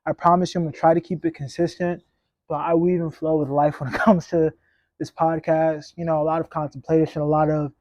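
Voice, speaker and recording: A man's voice: a little high, flat delivery, brisk, darkish tone, no room sound, highs cut off. A man in his late twenties hosting a casual solo podcast outdoors on a clip-on mic, talking in a reflective, rambling, laid-back way. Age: 20s. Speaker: podcast host.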